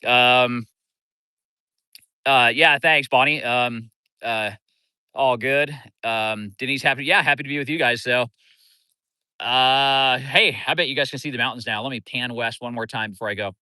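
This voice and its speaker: Middle-aged Midwestern man, livestream host, bright, dry close sound, easygoing rambling chat. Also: mid-range voice, a little slow, pauses often, clear, resonant tone, no echo. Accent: Midwestern American. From Kansas City. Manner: relaxed, rambling, greets viewers by name. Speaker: livestream host and fishing coach. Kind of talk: casual livestream chat with viewers. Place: outdoors by a river in town.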